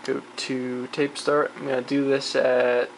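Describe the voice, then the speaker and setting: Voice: medium pitch, flat delivery, measured pace. A person chatting casually and off the cuff while demonstrating a vintage machine, rambling and muttering to themselves as they work. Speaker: hobbyist demonstrating vintage tape recorder. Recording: clearly noisy home video, machine hum.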